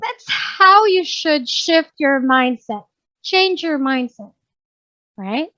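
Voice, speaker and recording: A woman's voice: high voice, animated, takes it slowly, sharp tone, no echo, highs cut off. A woman trainer with a Filipino English accent, chatting casually as she teaches, mixing Tagalog and English, often checking that she's understood. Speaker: workplace communication trainer. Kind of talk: casual instructive training talk.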